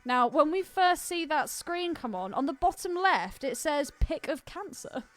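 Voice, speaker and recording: A woman with a British accent and a fairly high voice co-hosts a casual film chat. She is cheeky and teasing, happy to get bawdy. There is music behind her as she talks. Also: animated, measured pace, a bit bright. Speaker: podcast co-host.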